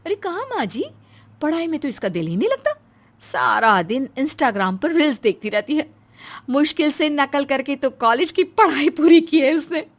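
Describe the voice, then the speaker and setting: A woman's voice: high-pitched, sing-song, normal speed. A woman voicing an innocently tactless, cheeky young daughter-in-law in a cartoon moral story, chatty and outspoken. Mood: cheeky, matter-of-fact.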